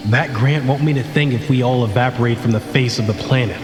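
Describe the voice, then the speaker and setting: A man's voice: medium pitch, a little fast, close to an everyday voice. A man acting an urgent, bitter, confrontational colleague in a sci-fi audio drama. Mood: urgent, angry. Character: bitter, accusing male colleague in a sci-fi disaster. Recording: long echoing reverb under music.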